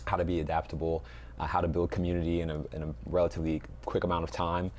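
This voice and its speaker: A man's voice: low voice, level tone, fast talker, darkish tone, slight background noise. A man giving thoughtful, reflective interview answers as a coach, feeling his way through his phrasing. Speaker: basketball coach, interview guest.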